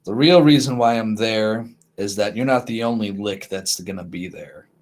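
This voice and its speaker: A man voicing a cranky male bodyguard in a tabletop game, speaking deadpan and haltingly. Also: lowish voice, natural voice. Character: gruff male bodyguard, dry and protective. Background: faint music.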